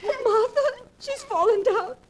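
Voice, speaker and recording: A woman's voice: extremely high pitch, unhurried. An actress playing a timid, fretful elderly woman with plaintive, anxious 1940s radio melodrama delivery. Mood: panicked, grieving. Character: fretful, timid, high-voiced elderly sister. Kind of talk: 1940s radio mystery acting. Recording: noisy 1940s radio broadcast recording.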